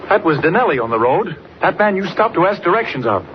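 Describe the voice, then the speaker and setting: An American man playing an earnest, commanding superhero reporter in a crisp 1940s radio serial style. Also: sits high, sing-song, quick pace. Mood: urgent, commanding. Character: earnest, urgent young newspaper reporter, secretly a mighty superhero. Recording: muffled noisy 1940s radio recording.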